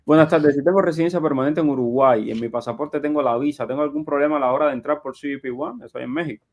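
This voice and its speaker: A man's voice: a little high, fast. A man hosting a live question-and-answer show, reading audience questions aloud in a conversational way. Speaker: live show host and journalist.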